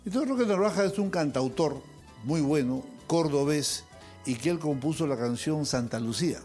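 A man's voice: a little high, expressive, moderate pace, a little mellow, soft background music. Older man telling stories. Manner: anecdotal storyteller, acts out quoted voices. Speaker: host. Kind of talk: casual conversation among musicians.

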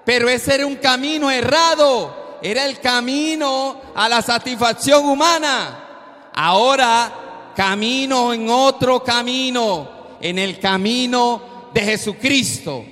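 Male preacher, very high voice, slow emphatic delivery with shouted peaks, long hall reverb. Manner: slow, emphatic exhortation with sudden loud peaks. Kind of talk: sermon.

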